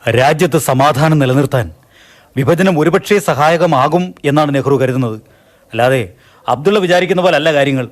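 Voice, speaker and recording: A man playing an earnest, principled man in a radio play, steady and patriotic. His voice sits a little higher than most men's. He makes his points calmly, reasoning them through. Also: a little fast, natural voice. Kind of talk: Malayalam radio drama acting.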